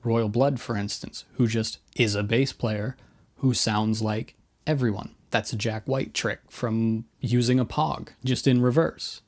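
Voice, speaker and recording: A man's voice: medium pitch, steady tone, a little slow. A middle-aged man hosting a video, giving informal, opinionated commentary in a casual essay style. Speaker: host of a guitar gear video.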